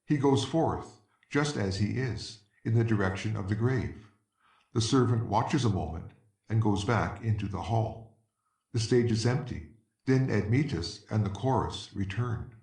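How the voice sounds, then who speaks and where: A man's voice: fairly low pitch, a little slow, vocal fry, darkish tone. A man narrating a play's stage directions in a scripted, literary style. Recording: echoey room, distant from mic.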